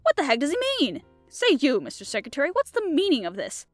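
A woman's voice: high voice, huge pitch swings, fast talker, no room sound, soft background music, pitched above the performer's own voice. Woman as blustering, indignant revolutionary man. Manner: loud, indignant. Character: blustering, heavy-fisted male revolutionary.

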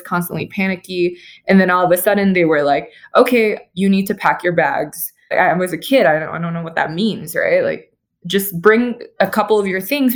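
Casual young woman telling a story. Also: lowish voice. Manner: casual, with many "like" fillers. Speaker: guest, architect. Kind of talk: interview storytelling. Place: remote call from California.

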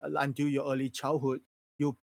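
A man from Singapore, a native Mandarin speaker with a Singaporean accent, chatting casually and candidly on a livestream in an animated way. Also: mid-range voice, normal speed, creaky, dry recording.

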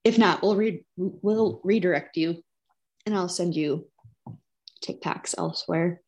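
A woman's voice: lowish voice, unhurried, darkish tone. A woman chatting casually as a podcast co-host, informal and teasing in a playful way. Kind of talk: casual chat.

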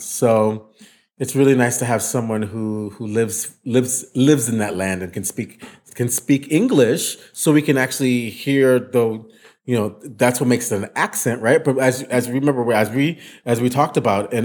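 A man's voice: mid-range voice, darkish tone. A man hosting an educational podcast, talking with enthusiasm and often repeating himself. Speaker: podcast host, voice teacher. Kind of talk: casual podcast chat.

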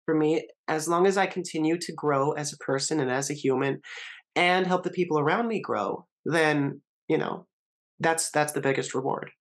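A woman speaking as a guest in a casual conversation, thoughtful and measured. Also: deep voice. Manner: thoughtful, measured, open about voice.

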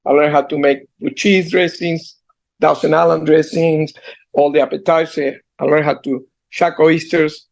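A man in his sixties with an Argentine Spanish accent, a guest entrepreneur on a video call, telling his story earnestly with halting restarts. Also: fairly high pitch, little pitch movement, gravelly. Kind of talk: storytelling interview. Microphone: computer mic on a video call.

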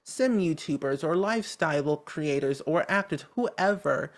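A man's voice: a little high, lively intonation, a little slow. A man speaking as a guest on a podcast, chatty and upbeat, with lots of casual fillers. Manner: casual filler-heavy speech. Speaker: podcast guest, game content creator. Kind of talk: casual interview talk.

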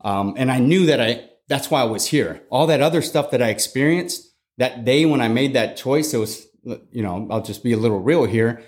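A man's voice: medium pitch, expressive, moderate pace. A middle-aged man from Texas, a guest in a casual interview, telling his life story in an earnest, reflective way.

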